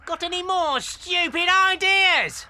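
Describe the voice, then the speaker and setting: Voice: very high voice, slow, sharp tone, heavily acted. A performer in a dramatized children's audiobook playing an eager, bloodthirsty, gluttonous little male farmer, squawky and gleeful. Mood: grumbling.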